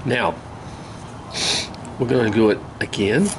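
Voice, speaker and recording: An older man with a hoarse voice from a cold, casually talking through a woodcarving demonstration in an easygoing, folksy way. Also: on the low side. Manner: easygoing, folksy, unhurried, with many pauses. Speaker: woodcarving instructor. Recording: steady outdoor ambient noise.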